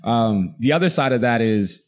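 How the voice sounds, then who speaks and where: A man's voice: mid-range voice, lively intonation, normal speed. Man, 30s, rapper guest, blunt, confident, casual. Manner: confident, blunt, streetwise, laughs easily. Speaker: hip hop artist guest. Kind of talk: casual interview.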